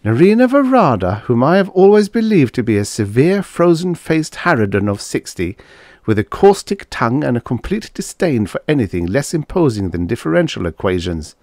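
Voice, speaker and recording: A man's voice: low-pitched, expressive, normal speed, dry recording, studio quality. A man playing a bitter, sardonic American scientist in a story, with a blunt, wry, emphatic manner. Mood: amused, courteous.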